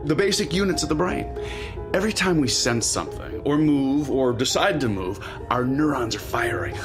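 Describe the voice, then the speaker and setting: A man's voice: medium pitch, animated, normal speed, over music, natural voice. A man playing an earnest, kindly neuroscience professor in an audio drama, enthusiastic and slightly nerdy. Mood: eager.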